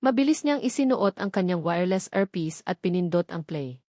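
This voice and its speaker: A woman's voice: on the low side, expressive, normal speed, mellow, no room sound, studio quality. A woman with a Filipino accent reading a scripted story as a dramatic audiobook narrator.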